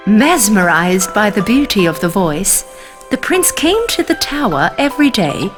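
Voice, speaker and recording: Lively, expressive woman storyteller. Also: medium pitch, unhurried. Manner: expressive, sing-song storytelling. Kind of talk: scripted bedtime story narration. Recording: narration over music.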